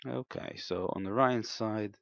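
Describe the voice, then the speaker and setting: A man giving an unscripted, step-by-step technical demonstration in a hesitant, halting way, in a slightly muffled room recording. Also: lowish voice, vocal fry, dry recording. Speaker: amateur radio operator demonstrating equipment.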